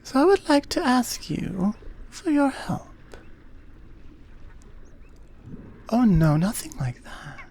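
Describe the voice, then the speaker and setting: Woman acting as regal Amazon queen, ASMR audio roleplay, mellow soft voice, unhurried measured pacing, formal, gracious, guarded. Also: fairly high pitch, very animated, creaky, slight background noise, heavily acted. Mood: coaxing, intimate. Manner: measured, deliberate, formal, with frequent pauses. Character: regal, centuries-old Amazon queen, gracious, curious, wary of outsiders. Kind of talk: ASMR roleplay audio drama, addressed to the listener.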